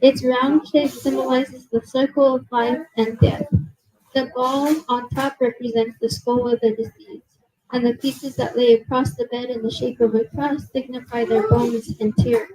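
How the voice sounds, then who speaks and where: Nervous young woman presenting, soft husky voice. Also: steady tone, measured pace, low-quality recording. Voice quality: soft, low voice. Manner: nervous, apologetic, many fillers. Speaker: museum presenter. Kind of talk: reading prepared talk to a live audience. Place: museum gallery, indoors. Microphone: handheld mic held at a distance.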